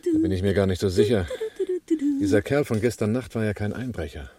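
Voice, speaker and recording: A man's voice: lowish voice, wildly swooping pitch, fairly quick, warm tone, slightly rough, no room sound, exaggerated voice. An actor playing a cool, wry and self-reliant male arms smuggler in a radio crime drama. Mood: wary.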